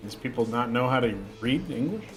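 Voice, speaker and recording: Young male streamer with a slight lingering cough, middle-pitched voice, casual rambling chat, faint music behind. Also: lively intonation, a little fast. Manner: rambling, with frequent fillers and restarts.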